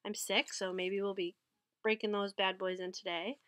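A woman's voice: medium pitch, level tone, normal speed, a bit bright, no echo, clean studio sound. A woman in her thirties with a head cold, sounding a bit nasal, chatting rambly about her knitting haul to a webcam. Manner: chatty, rambling, self-correcting. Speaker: knitting vlogger. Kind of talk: casual haul and recap vlog. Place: small wood-paneled room with bookshelves. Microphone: webcam at desk.

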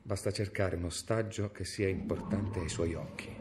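An actor in an Italian radio drama playing a cold, cunning medieval inquisitor with a measured, formal, severe delivery. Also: male voice, low voice, steady tone, close to an everyday voice. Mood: cold, calculating. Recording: noisy radio drama recording.

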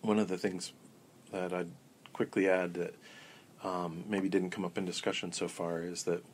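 A man answering interview questions in a measured way, pausing often with ums and uhs. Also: sits low, flat delivery, slight background noise. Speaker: interview guest on a pagan tradition. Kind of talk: thoughtful casual interview answers.

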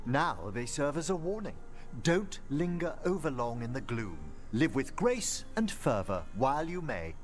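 A man's voice: medium pitch, big pitch swings, unhurried, soft background music. A man playing a courteous, scholarly middle-aged necromancer in a video game, with formal, precise speech. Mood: solemn.

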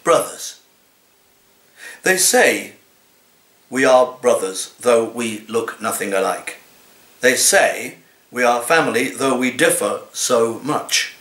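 Slow, theatrical man in his sixties. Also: medium pitch. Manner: deliberate, theatrical, expressive delivery in a cat's persona. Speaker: actor reading poems. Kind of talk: dramatic comic poetry reading. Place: small living room, indoors. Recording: roomy, echoey home recording.

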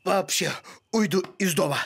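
A man voicing a good-natured, hapless talking dog in an animated cartoon, with an expressive, plaintive delivery. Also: high voice, moderate pace, vocal fry, a little hiss or hum. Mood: dejected.

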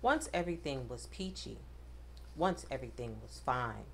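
A woman reading a rhyming picture book aloud to children, with expressive, dramatic emphasis. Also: extremely low pitch, normal speed, slight background noise. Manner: addresses children directly, dramatic emphasis on rhymes.

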